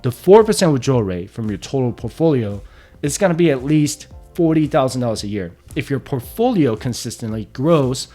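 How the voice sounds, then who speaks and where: A man's voice: medium pitch, animated, a little fast, warm tone, soft background music. A man in his thirties hosting a personal finance explainer, walking through the numbers step by step and often checking in with "right?". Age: 30s. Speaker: personal finance channel host.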